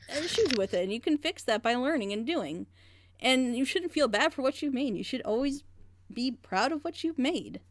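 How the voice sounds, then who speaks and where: A woman's voice: a little high, no room sound. A woman in a casual group reaction chat, talking earnestly and passionately in long, rambling stretches. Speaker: reaction group member leading the discussion.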